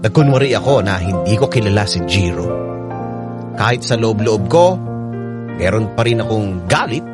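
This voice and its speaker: A man's voice: fairly low pitch, lively intonation, normal speed, close to an everyday voice. Man acting a brooding narrator over piano. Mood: brooding, resentful. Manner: brooding, sorrowful. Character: wounded, resentful man narrating his inner thoughts. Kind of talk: Filipino radio drama monologue. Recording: piano music behind the voice.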